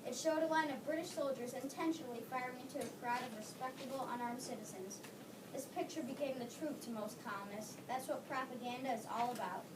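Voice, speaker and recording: A woman's voice: high-pitched, steady tone, quick pace. A woman narrating a readers theater script in an earnest, slightly stumbling way. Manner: earnest reading with slips. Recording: echoey room, far from mic, noisy.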